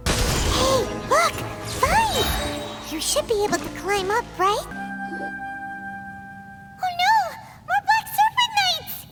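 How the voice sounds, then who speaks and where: A woman voicing a tiny, excitable, childlike fairy companion in a video game, with a squeaky voice and an exclamatory, curious manner. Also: takes it slowly, long pauses, loud music behind the voice, exaggerated voice. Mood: excited alarm. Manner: excitable, exclamatory, refers to self in third person.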